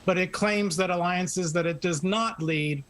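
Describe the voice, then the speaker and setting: A man with a slightly high voice explains world affairs as a guest on a news programme. He speaks in a measured, explanatory way. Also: fairly bright tone. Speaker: guest analyst. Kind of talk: news interview commentary.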